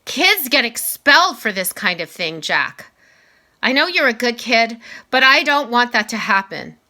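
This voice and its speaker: A grave male principal from a children's story, voiced by a woman reader. He is concerned and serious, speaking deliberately in a bright, emphatic tone. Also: on the high side, very animated, voice pushed up, full character voice. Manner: serious, measured, questioning.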